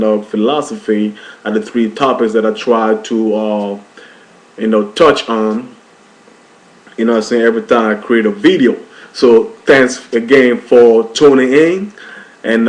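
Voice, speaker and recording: Low, flat-voiced man rambling on webcam. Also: rough recording. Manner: rambling, many fillers and restarts. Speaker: channel host. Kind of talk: casual webcam monologue.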